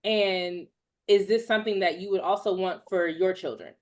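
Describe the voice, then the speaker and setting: A woman hosting a panel who asks measured, careful questions, hedging with "kind of" and "right?". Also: medium pitch, steady tone. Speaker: host, moderator. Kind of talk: interview questions.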